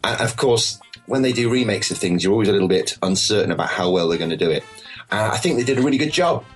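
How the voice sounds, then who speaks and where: A man with a very low voice sits in as a podcast co-host. He rambles eagerly, piling up asides as he talks. Faint music runs underneath. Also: animated, fast. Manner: enthusiastic, rambling film talk. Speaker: stand-in co-host. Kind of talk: casual chat.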